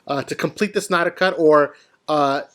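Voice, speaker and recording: A man's voice: on the high side, normal speed, fairly bright tone. A man hosting a casual film discussion, explaining his view and putting questions to the panel. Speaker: host of a film discussion show.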